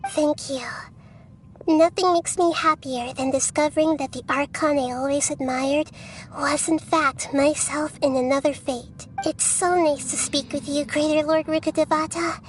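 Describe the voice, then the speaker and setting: A gentle, earnest young girl goddess, speaking softly and politely. Her voice sits high and unhurried, with faint game music beneath. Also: fairly even intonation. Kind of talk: video game cutscene dialogue. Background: game score music.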